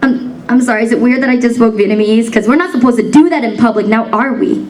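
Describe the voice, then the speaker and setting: A woman's voice: a little high, fast, darkish tone, recorded from a distance. A young woman from the United States performing a spoken word poem in a rhythmic, rhyming cadence, emphatic and defiant. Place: banquet hall stage.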